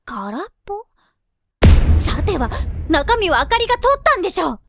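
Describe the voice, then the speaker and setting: A woman's voice: very high voice, very animated, normal speed, heavily acted. A woman playing a confident, teasing teenage schoolgirl idol in an anime-style Japanese voice drama, with poised, coaxing feminine speech. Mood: teasing, friendly. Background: light tinkling children's music.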